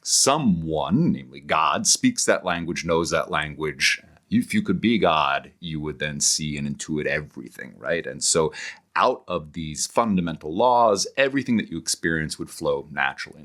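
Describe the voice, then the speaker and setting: Man, very low voice, highly varied pitch, animated explainer, conversational, scholarly interview guest. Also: moderate pace. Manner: animated storyteller, acts out paraphrased quotes.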